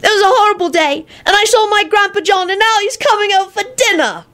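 A woman voicing a sulky, wailing young boy in a storybook, with a drawn-out whine. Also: high voice, animated, a bit bright, voice pushed up. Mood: wailing, indignant. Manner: drawn-out, whining delivery.

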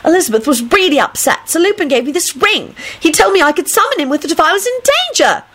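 A woman's voice: high voice, lively intonation, quick pace, fairly bright tone, voice pushed up. A woman voicing a whiny, dramatic, easily outraged woman who wails and squeals in an audiobook story. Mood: excited. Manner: wailing, squealing delivery.

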